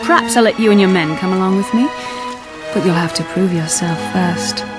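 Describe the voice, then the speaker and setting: A woman's voice: lowish voice, brisk, lightly acted. A woman playing a shrewd, bold pirate captain in a video game cutscene, with a wry, self-assured manner. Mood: wry, challenging. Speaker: voice actor. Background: background music.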